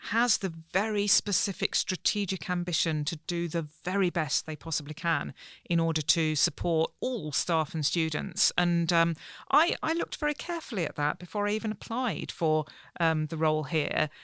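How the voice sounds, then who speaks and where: A woman's voice: fairly low pitch, normal speed, fairly bright tone, no echo, clean studio sound. A middle-aged British woman, a podcast guest, speaking thoughtfully with hesitant fillers. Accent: British English. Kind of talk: conversational podcast talk.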